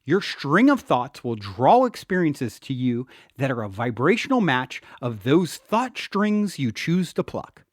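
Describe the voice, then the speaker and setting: Man reading with lively, swooping pitch. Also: unhurried, few pauses, no room sound, clean studio sound. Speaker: author reading own audiobook. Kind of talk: scripted self-help audiobook narration.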